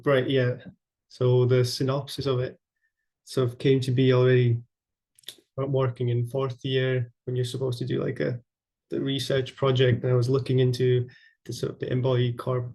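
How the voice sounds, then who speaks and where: Halting, gravelly young man presenting. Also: medium pitch, dark tone. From Latvia. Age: young adult. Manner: halting, many fillers and restarts. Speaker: architecture student presenting. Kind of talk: online presentation.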